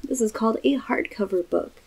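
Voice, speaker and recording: A woman's voice: on the high side, a little fast, a little mellow, husky. A woman in her twenties doing a casual children's picture-book read-aloud in a small room. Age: 20s.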